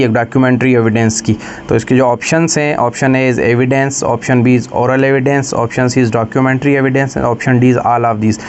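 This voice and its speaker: A man with a Pakistani accent giving an explanatory exam-prep lecture, slowing down to read questions deliberately and then explaining them. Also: medium pitch, dull audio, slight background noise. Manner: switches between Urdu explanation and slow, deliberate English reading. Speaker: lecturer for law exam preparation.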